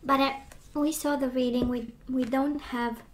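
A woman giving a casual tarot reading, chatty and reassuring, her voice strained as she loses it and clears her throat. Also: high voice, fairly even intonation, measured pace, a little hiss or hum. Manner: chatty, reassuring, many fillers and restarts. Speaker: tarot reader. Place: indoors at a table of tarot decks.

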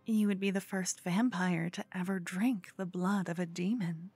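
A woman's voice: on the low side, steady tone, moderate pace, a little mellow, breathy edge, faint music behind. A woman playing a cute, obsessed, scheming yandere con woman in an ASMR roleplay, coy and cooing, often slipping into whispers. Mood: eager, teasing.

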